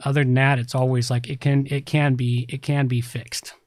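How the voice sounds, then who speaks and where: A man's voice: mid-range voice, flat delivery, normal speed, a little mellow, no echo, studio quality. A man hosting a tech podcast, talking casually and explaining things with emphatic repetition. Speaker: podcast host, website repair specialist.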